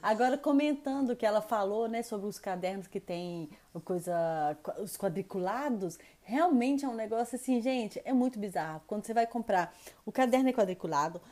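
A Brazilian woman with a soft, mellow tone co-hosts a relaxed podcast. She talks eagerly and jokes about her own scatterbrained ways. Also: mid-range voice, normal speed. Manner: enthusiastic, chatty, self-deprecating. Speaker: podcast co-host. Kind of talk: casual podcast chat. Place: home in France.

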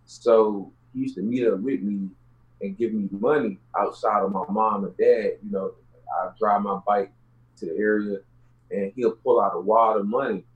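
A man's voice: on the low side, fairly even intonation, normal speed, phone-quality sound. A man from Houston with a Southern US accent, telling his own story casually as an interview guest in streetwise, slangy speech. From Houston, Texas, United States.

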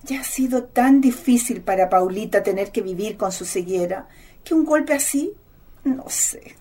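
A woman's voice: mid-range voice, animated, moderate pace, warm tone, husky. An actress playing a fretful but fond elderly grandmother in a radio drama, with sighing, doubtful delivery. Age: older. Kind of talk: Spanish-language radio drama acting.

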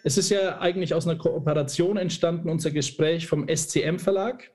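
Higher-voiced man hosting a casual podcast. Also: fairly even intonation, brisk, music in the background. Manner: hesitant, jokey, informal. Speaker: podcast host, interviewer. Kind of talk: casual conversational interview.

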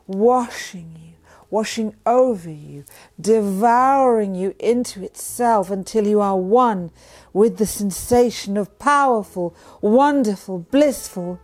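A woman reading a sensual guided meditation in a breathy voice that often drops into a whisper. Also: medium pitch, slow pace. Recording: voice over soft background music. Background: music.

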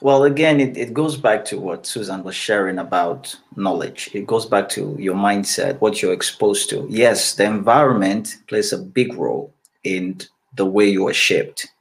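Measured low-voiced man. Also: vocal fry. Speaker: guest. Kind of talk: casual conversation.

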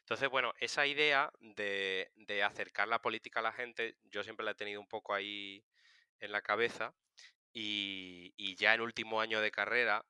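A man in his thirties from Seville with a very bright, forceful voice, clean and crisp in a dry sound. He talks casually as an interview guest, telling stories and explaining things. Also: lowish voice, steady tone, moderate pace, no room sound. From Seville, Spain. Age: 30s. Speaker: interview guest, political science communicator. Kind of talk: casual conversational storytelling.

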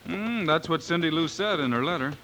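A man playing a calm, laconic western marshal in a radio drama, speaking in a terse, low-key way. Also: fairly quick. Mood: calm, easygoing. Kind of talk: 1950s western radio acting. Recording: noisy old radio recording.

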